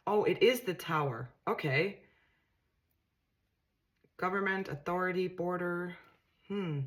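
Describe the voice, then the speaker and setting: A woman's voice: lowish voice, takes it slowly. A woman with a Danish accent, a tarot reader giving a casual reading to camera, musing aloud and often repeating and restarting her words in a reassuring way.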